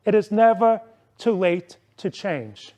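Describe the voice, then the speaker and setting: A man in his forties giving a prepared motivational club speech, deliberate and emphatic, with rhetorical questions and repetition. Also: sits high, expressive, warm tone. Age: 40s.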